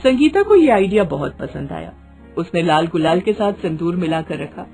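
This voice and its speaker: A woman's voice: on the low side, sing-song, moderate pace, gravelly, warm tone, faint music behind. A woman narrating a scripted bedtime story in a dramatic storytelling style.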